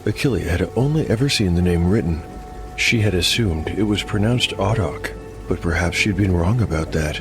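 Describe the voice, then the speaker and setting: A clear music bed sits under a man with a fairly low voice. He delivers scripted podcast narration as the host of a dark fantasy show. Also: expressive, moderate pace.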